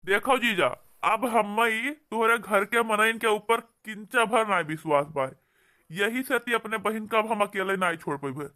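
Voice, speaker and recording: A man's voice: sits high, normal speed, sharp tone. A man with an Awadhi accent voicing an indignant, protective brother-in-law who scolds and argues in a comedy cartoon. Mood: angry, distrustful. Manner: scolding, argumentative. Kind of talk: amateur comedy cartoon dialogue.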